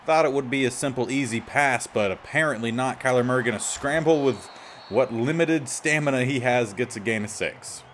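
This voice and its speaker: A man with a slightly raspy voice giving casual gameplay commentary as the host of a gaming series. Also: mid-range voice, moderate pace, a bit bright, slight background noise.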